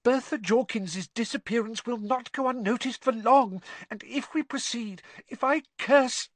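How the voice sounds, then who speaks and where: A man voicing a timid, servile wizard henchman in an audiobook, squeaky, anxious and pleading, words tumbling out in a rush. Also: sing-song, normal speed, no echo, exaggerated voice. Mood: panicky.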